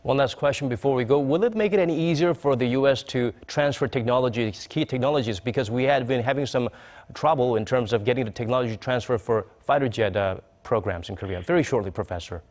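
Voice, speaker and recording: A man's voice: medium pitch, fast, a little mellow. Formal male news interviewer.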